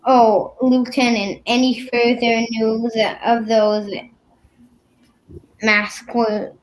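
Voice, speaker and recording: A woman puts on a bumbling policeman for an old-time radio comedy. The delivery is very slow and halting, in a fairly high voice. The cop sounds official and suspicious, tripping over words. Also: little pitch movement, low-quality recording. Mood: flustered deferential.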